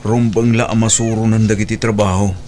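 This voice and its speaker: A man's voice: lowish voice, level tone, fairly quick, a little mellow, a little hiss or hum, close to an everyday voice. A man playing a stern, disapproving middle-aged husband and father in an audio drama, arguing in a reproachful manner. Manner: reproachful, argumentative.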